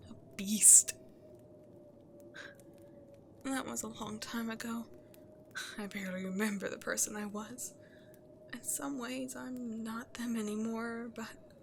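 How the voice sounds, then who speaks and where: A woman playing a remorseful, self-loathing cursed princess turned beast in an ASMR roleplay, halting and confessional, often hushed to near-whispers. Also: fairly high pitch, creaky, slight background noise. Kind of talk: dramatic ASMR roleplay monologue to the listener.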